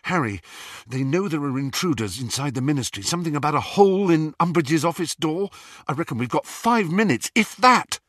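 A blunt young wizard in an audiobook, speaking in quick, urgent bursts with a bright, lively edge, as a male reader plays him. The sound is close and dry. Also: on the high side, dry recording, voice pushed up.

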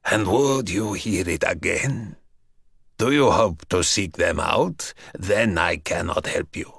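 Old vampire lord guy doing the voice, slow and mocking, low pitched, audiobook. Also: vocal fry, a bit bright, husky, no echo, clean studio sound, exaggerated voice. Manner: slow, mocking, archaic speech. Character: ancient buried male vampire, brooding, menacing. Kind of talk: audiobook character voice.

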